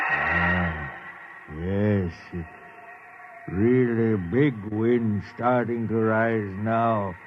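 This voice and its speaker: An actor playing a placid, courteous alien native in a 1950s radio drama, speaking halting, formal broken English. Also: male voice, mid-range voice, a little hiss or hum. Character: placid, slow-witted male alien native, courteous and fatalistic. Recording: dull old radio recording.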